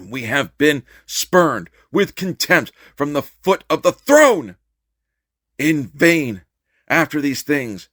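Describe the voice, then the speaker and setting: Man performing a fervent, bright-voiced revolutionary orator. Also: a little high, dry recording, clean studio sound. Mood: grieved, indignant. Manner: impassioned, declamatory, emphatic rhetorical delivery. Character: fervent American revolutionary orator urging war. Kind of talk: dramatic reading of an 18th-century political speech.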